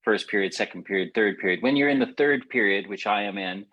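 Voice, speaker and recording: A man's voice: on the low side, fast, fairly bright tone, dull audio. A middle-aged man hosting a casual, reflective conversation, rambling and circling back to rephrase as he goes. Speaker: podcast host.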